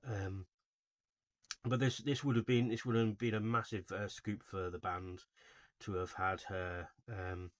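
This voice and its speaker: A man giving informal, unscripted video commentary as a host, in a halting, rambling way with frequent self-corrections. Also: fairly low pitch, fairly even intonation, no room sound. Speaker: host of a video series on album cover art.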